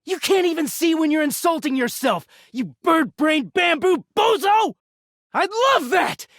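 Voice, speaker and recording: A man's voice: very high voice, animated, unhurried, no echo, clean studio sound, heavily acted. A man voicing a bitter, exasperated, sardonic, foul-mouthed young male living-laundry character in a video game. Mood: exasperated, angry. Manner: sardonic, foul-mouthed, swinging from muttering to shouting.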